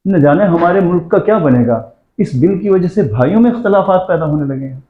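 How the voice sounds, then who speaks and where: A man's voice: fairly high pitch, lively intonation, lightly acted. A man playing a middle-aged elder brother and father in an amateur moral drama, stern and curt. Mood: remorseful. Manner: curt, scolding. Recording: roomy echo, dull sound, faint noise.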